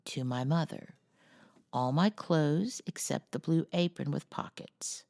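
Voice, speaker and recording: A woman's voice: low voice, unhurried, gravelly, a little mellow, no echo, studio quality. A woman voicing an earnest, self-important young girl in a storybook, speaking primly. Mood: formal, solemn. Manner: prim, earnest.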